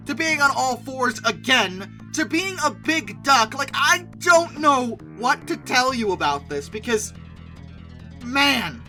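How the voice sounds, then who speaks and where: A video narrator with a bright, forward voice that swings widely in pitch. The delivery is casual commentary, conversational and wry, full of playful emphasis and asides. Music plays behind the voice. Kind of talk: casual scripted commentary rating film dinosaurs. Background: background music.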